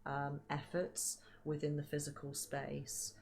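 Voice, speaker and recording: A woman giving a casual tarot reading, chatty with many fillers, her voice a little hoarse as she loses it. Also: sits low, completely flat delivery, takes it slowly, darkish tone, slight background noise. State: losing her voice. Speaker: tarot reader.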